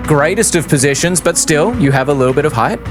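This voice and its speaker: A man narrating a tabletop role-playing game as game master, in a dramatic, deliberate storytelling manner. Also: on the high side, animated, over music.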